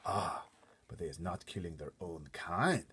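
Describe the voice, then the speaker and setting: Man voicing a big earnest giant, husky and deep. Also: animated, measured pace, fairly bright tone, full character voice. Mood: earnest, indignant. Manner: muddled grammar and made-up words, emphatic. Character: big gentle kindly giant, earnest, folksy and mangled grammar. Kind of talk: storybook character voice.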